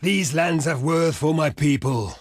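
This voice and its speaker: An actor playing a proud, gruff barbarian chieftain in a video game, declaiming portentously in a gravelly, husky voice. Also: male voice, a little high, measured pace, a little mellow, dry recording, clean studio sound. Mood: persuasive, dignified. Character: proud, gruff barbarian chieftain envoy, earthy, superstitious, warlike. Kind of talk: theatrical video game diplomacy voice lines.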